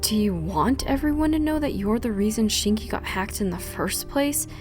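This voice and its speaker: A woman's voice: mid-range voice, expressive, moderate pace, a little mellow, soft background music, natural voice. A woman playing a stern, defensive commander in an amateur anime-style audio drama. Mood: angry, defensive.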